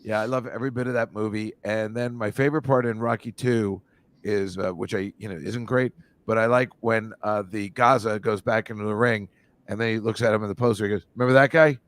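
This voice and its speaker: A man's voice: on the low side, steady tone, brisk, no room sound. A man, a comedian and film teacher, rambling in casual podcast chat and slipping into movie bits. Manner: rambling, slips into movie impressions.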